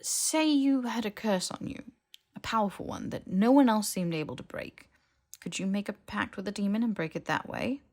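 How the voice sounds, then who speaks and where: A woman's voice: medium pitch, moderate pace, darkish tone, voice pushed up, lightly acted. A woman voicing an evasive, casual young man in an audiobook, with a hesitant way of talking. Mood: awkward, fishing. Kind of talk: audiobook character dialogue.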